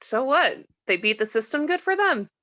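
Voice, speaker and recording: A woman's voice: high-pitched, sing-song, moderate pace, bright, forceful tone, dry recording, dull audio, exaggerated voice. A woman reading the part of a skeptical, bitter, reluctant mortal in a script table read, blunt and questioning. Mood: defiant.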